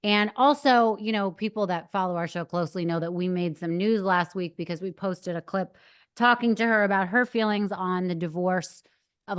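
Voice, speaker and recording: Woman podcast host, chatty and excited, smooth clear voice. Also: lowish voice. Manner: chatty and enthusiastic, many fillers. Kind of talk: podcast interview and intro. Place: studio in Boca.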